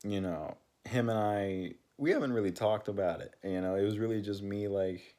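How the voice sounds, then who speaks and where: A young man with a deep voice, talking casually to his channel's viewers and rambling with many fillers and restarts. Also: moderate pace, dark tone. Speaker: channel co-host. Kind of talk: casual talk to camera.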